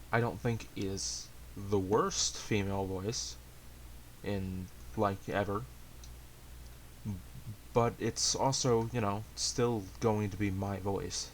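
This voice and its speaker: Hesitant, rambling man with faint hiss. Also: lowish voice. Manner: hesitant, halting, self-deprecating. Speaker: amateur story reader and channel host. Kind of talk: casual rambling chat to viewers. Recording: hissy amateur home recording.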